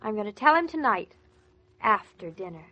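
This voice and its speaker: An actress playing an eager, anxious young newlywed wife in 1940s American radio drama, with a gushing, coaxing manner. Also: female voice, medium pitch, very animated, moderate pace, muffled recording. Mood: defensive. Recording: vintage 1940s radio recording.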